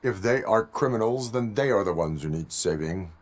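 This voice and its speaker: A man voicing a stoic cyborg police officer in a video game, speaking in a formal, stilted, deadpan way. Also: lowish voice, normal speed, natural voice. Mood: stern, measured.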